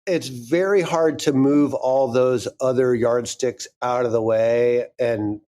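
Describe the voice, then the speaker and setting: A man's voice: mid-range voice, fairly even intonation, slow pace, mellow. A man in his fifties, a guest speaking frankly and candidly in a casual interview, swearing now and then. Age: 50s. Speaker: guest, audio drama creator.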